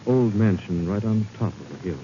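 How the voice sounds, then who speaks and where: An actor playing a calm, reassuring, wealthy gentleman hero in 1940s American radio drama style. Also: lowish voice, a little fast, close to an everyday voice. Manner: reassuring, unflappable. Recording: noisy muffled 1940s radio recording.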